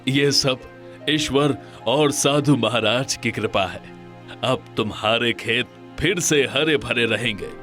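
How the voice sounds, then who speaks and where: A man's voice: medium pitch, slow, pushed and bright, faint music behind. A man playing an earnest, noble king in a cartoon moral story, speaking formally and respectfully. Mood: humble.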